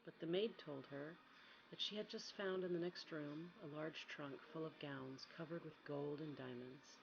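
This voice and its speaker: Low, quiet woman reading a bedtime story. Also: moderate pace, creaky, dull audio, noisy background. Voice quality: soft, quiet.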